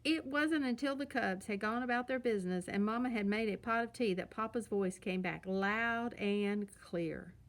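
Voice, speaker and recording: A woman's voice: medium pitch, moderate pace, hardly any pauses. A woman narrating a children's storybook as a read-aloud storyteller.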